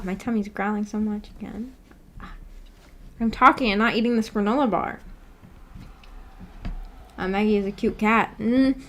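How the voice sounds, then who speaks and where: A woman's voice: medium pitch, fairly bright tone. A woman hosting a livestream, chatting casually and reading out viewer comments in a giggly, chatty way. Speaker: streamer and host.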